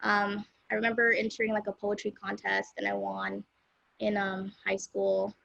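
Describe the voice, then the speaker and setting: Creaky-voiced young woman chatting casually. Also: mid-range voice, normal speed, dry recording, lo-fi sound. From South Central Los Angeles, USA. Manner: relaxed, rambling, with many fillers like "um" and "like". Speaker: guest singer-songwriter. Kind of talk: casual interview chat.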